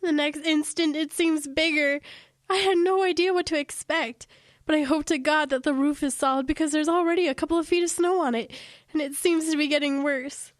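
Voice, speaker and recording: A woman's voice: high voice, fairly even intonation, a little fast, no echo, studio quality, natural voice. An actress playing an anxious, wryly dramatic woman in a radio drama. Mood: uneasy, reflective. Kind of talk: radio drama acting with narrated inner monologue.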